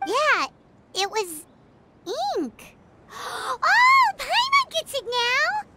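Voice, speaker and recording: A woman's voice: very high-pitched, wildly swooping pitch, very slow, heavy background noise, full character voice. A woman voicing a small, chirpy, cheeky fairy companion in a video game, talking in exclamations. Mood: dawning realization. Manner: refers to self in third person, exclamatory. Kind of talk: English video game voice acting. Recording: music playing behind the voice.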